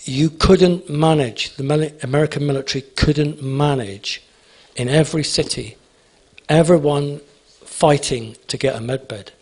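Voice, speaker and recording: A man's voice: on the high side, measured pace, a little mellow, breathy edge. A man speaking at a conference, emphatic and repetitive, answering audience questions. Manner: emphatic, repeats phrases, asks "okay?".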